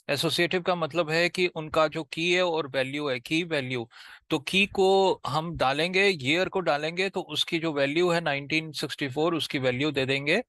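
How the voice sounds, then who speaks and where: A man with an Indian accent giving an informal step-by-step tutorial as a programming tutor, repeating himself haltingly and mixing in English technical terms. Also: on the high side, a bit bright, no echo.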